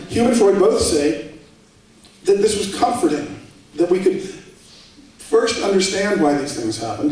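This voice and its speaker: A man's voice: fairly high pitch, warm tone. A man speaking at a public debate lecture in a conversational way, with dry asides, in a lecture hall. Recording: echoey hall, voice from far off.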